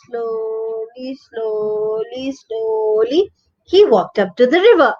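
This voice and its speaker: A woman's voice: high voice, dull audio. A woman with an Indian accent narrating a children's bedtime story expressively, drawing out and repeating words for emphasis. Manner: expressive, drawn-out repetition for emphasis.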